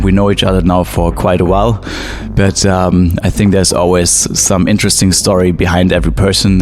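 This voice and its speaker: Male podcast host, very low voice, halting with fillers, music behind. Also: a little mellow. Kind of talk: interview hosting.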